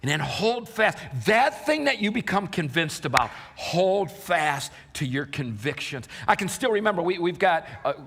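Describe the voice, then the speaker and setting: A middle-aged male pastor preaching a sermon as a story, shifting from loud impassioned shouting to quiet hushed telling. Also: high-pitched, very animated, normal speed, hardly any pauses, background noise. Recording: long hall reverb.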